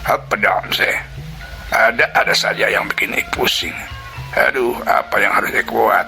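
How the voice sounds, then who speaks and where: A man's voice: fairly low pitch, measured pace, vocal fry, sharp tone, faint music behind, full character voice. A man voicing an anxious colonial Dutch official in a spoken storybook, muttering fretfully to himself.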